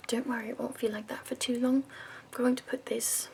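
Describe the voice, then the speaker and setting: A woman in her twenties voicing a prim, fussy, upbeat woman makeup escort from the capital, in a soft whispered ASMR roleplay. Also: a little high, level tone, brisk, gravelly, slight background noise. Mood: prim, soothing.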